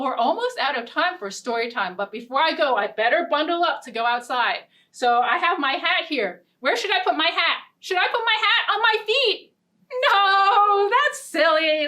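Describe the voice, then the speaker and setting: A woman hosting a children's storytime, reading and talking to small children in a playful, expressive way. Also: high voice, normal speed. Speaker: children's librarian, storytime host. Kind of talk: children's storytime with read-aloud story and songs.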